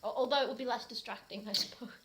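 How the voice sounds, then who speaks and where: A British woman with a theatrical, animated way of talking, hosting a mocking dramatic reading of fanfiction. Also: on the high side, rapid-fire, heavy background noise. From Britain. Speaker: host reading fanfiction aloud.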